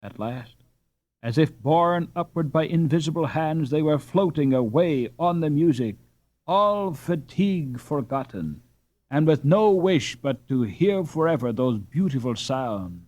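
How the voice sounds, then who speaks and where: A man reading a classic children's novel aloud with expressive, dramatic narration. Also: fairly high pitch, unhurried. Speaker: audiobook narrator. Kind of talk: scripted narration.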